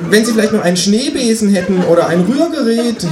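A man's voice: high voice, animated, fast talker, echoey room, crowd noise, pitched above the performer's own voice. A man voicing a polite but brazen scrounging kangaroo neighbour in a comic story reading. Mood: brazen, cheerful.

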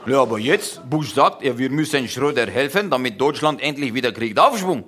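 A man's voice: medium pitch, expressive, brisk, noisy background, lightly acted. A man playing a talkative foreigner who speaks broken German and starts each sentence with "ja". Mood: mocking. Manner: broken word order, constant "ja" openers. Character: chatty foreign man mangling German grammar. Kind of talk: satirical comedy monologue.

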